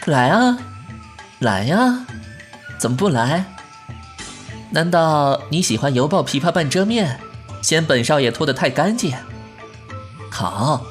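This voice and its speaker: A woman playing a brash, sharp-tongued young gentleman in a Chinese costume audio drama, theatrical and indignant. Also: sits low, sing-song, slow pace, pauses often, faint music behind, exaggerated voice. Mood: defiant, provocative.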